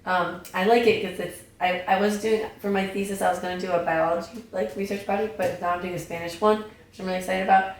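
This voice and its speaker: A young woman, a podcast guest, chatting casually in a slightly raspy voice, full of fillers and restarts. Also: medium pitch, fairly even intonation, fast. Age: young adult. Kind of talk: casual chat. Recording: echoey room, distant mic, faint noise.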